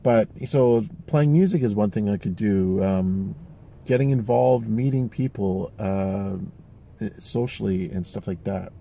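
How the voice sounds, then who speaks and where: Gravelly-voiced man in his forties musing. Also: lowish voice, a little slow, warm tone. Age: 40s. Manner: rambling, thoughtful, frequent fillers and restarts. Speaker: vlogger. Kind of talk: casual reflective monologue.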